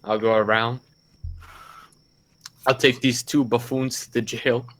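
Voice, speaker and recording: A man's voice: mid-range voice, flat delivery, unhurried, fairly bright tone, low-quality recording. A man playing a tabletop roleplay game with casual, improvised banter.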